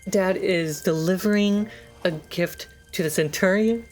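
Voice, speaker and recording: An easygoing game master narrates a tabletop game in a mid-pitched, relaxed voice. Scenes are described plainly, with pauses to prompt the players. Faint music sits behind.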